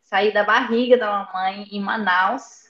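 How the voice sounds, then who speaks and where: A woman's voice: medium pitch, fairly even intonation, a little slow. A woman with a slight Argentine accent, a guest telling her family story in a chatty way over earphones and a phone on a remote call. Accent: slight Argentine Spanish. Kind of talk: casual storytelling interview. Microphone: earphones with phone in front. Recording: echoey, dull remote-call audio.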